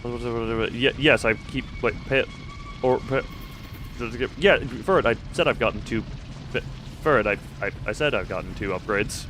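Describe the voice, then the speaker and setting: A man's voice: medium pitch, unhurried, fairly bright tone, a little hiss or hum, low-quality recording. A man livestreaming a video game with casual commentary and replies to chat, rambling and stammering in a self-deprecating way.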